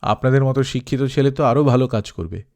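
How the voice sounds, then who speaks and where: A man playing a chatty, encouraging uncle in a story, with an animated, familiar manner. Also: mid-range voice, fast talker, gravelly, natural voice.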